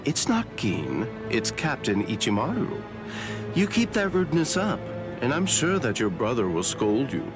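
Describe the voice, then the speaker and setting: A man's voice: medium pitch, huge pitch swings. A man voicing a sly, taunting, falsely friendly swordsman captain in an anime game dub. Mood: mocking, playful. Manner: teasing, drawn-out, falsely polite. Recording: prominent music behind voice.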